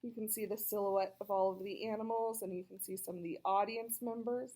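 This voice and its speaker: A woman reading a children's picture book aloud, expressive and chatty, with casual explanatory asides for young listeners. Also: mid-range voice, a little fast, low-quality recording. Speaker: read-aloud storyteller.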